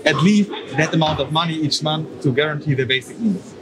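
A young man giving a measured, hesitant answer in a street interview outdoors at an event. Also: a little high, fairly even intonation. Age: young adult. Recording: noisy outdoor ambience.

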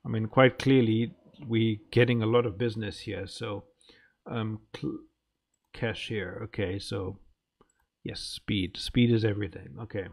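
A man's voice: fairly low pitch, fairly even intonation, measured pace, gravelly. A man giving casual let's play commentary as a gaming host, muttering and thinking aloud.

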